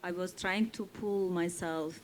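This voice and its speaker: A woman from Turkey, a native Kurdish speaker, talking slowly and deliberately on a festival panel. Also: on the low side, steady tone, a little hiss or hum, poor audio. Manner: slow, deliberate speech with frequent pauses. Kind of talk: panel talk.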